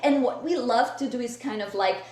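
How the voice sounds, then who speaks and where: A middle-aged Brazilian woman with a fairly high voice and a Brazilian accent from her native Portuguese. She tells her story as an enthusiastic, passionate guest in a conversational interview. The sound is that of an echoey room over a video call. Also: brisk, darkish tone. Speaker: guest chef and cookbook author.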